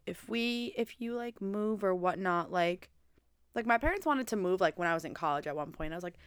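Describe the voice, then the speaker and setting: A woman in her twenties from Long Island hosts a casual podcast chat with friends. She rambles, leans on "like" and swears offhandedly. Her voice is smooth and resonant, recorded dry and close. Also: medium pitch, lively intonation, a little fast, no echo. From Long Island, New York. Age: 20s. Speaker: podcast host.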